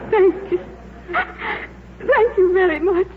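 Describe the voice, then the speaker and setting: Very high-voiced, earnest young woman. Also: slow pace, a loud crowd. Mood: tearful. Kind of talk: 1950s radio drama acting. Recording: tinny, band-limited old radio broadcast, hiss.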